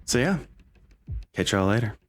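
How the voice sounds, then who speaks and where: A man's voice: lowish voice, sing-song, normal speed. A man hosting as a game master, chatting casually in an upbeat, informal way with players and viewers. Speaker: game master and stream host.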